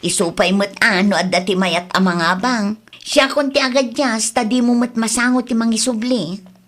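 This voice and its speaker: A high-voiced Ilocano woman played by an actress in a comedy audio drama. She is exasperated and insistent, complaining and nagging as someone who feels wronged. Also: moderate pace, fairly bright tone. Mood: indignant. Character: aggrieved costume-rental woman, exasperated daughter, insistent.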